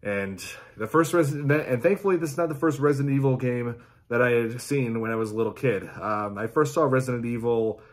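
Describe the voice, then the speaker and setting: A man in his thirties chatting casually as a reaction host, informal and halting, often repeating himself. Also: mid-range voice. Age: 30s. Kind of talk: casual reaction chat to camera. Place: small indoor room at home.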